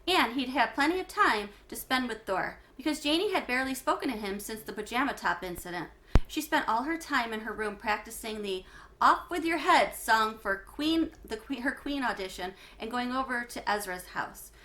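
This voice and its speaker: Bright, higher-voiced woman reading a children's story. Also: expressive, moderate pace. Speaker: teacher reading a children's book aloud. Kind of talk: read-aloud storytelling for schoolchildren.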